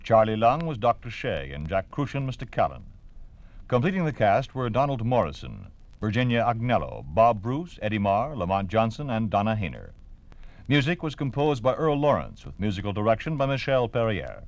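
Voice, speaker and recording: A man's voice: on the low side, sing-song, normal speed, muffled recording. An American man announcing an old radio drama in a solemn, formal, emphatic scripted style. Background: dramatic orchestral music.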